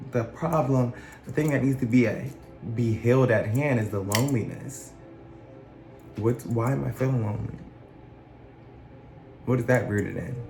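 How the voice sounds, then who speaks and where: Low gravelly man musing earnestly. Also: dark tone, echoey room, low-quality recording. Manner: earnest, musing, repeats and restarts phrases. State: recovering from illness, voice still rough. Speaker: channel host. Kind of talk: casual reflective monologue.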